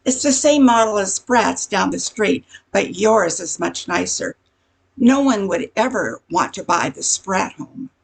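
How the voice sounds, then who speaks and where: Older woman playing a husky, creaky gossiping busybody. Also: medium pitch, expressive, unhurried, full character voice, low-quality recording. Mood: chatty. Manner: chatty, insinuating, confiding. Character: nosy gossiping busybody woman, neighborhood welcome wagon. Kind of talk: amateur radio comedy sketch acting.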